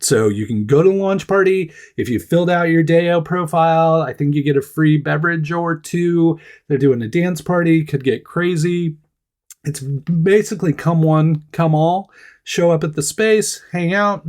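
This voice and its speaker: A middle-aged man with a monotone, nasal voice, hosting a casual solo startup news show and talking chattily with small laughs between phrases. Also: on the high side. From Oregon, United States. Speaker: startup news podcast host.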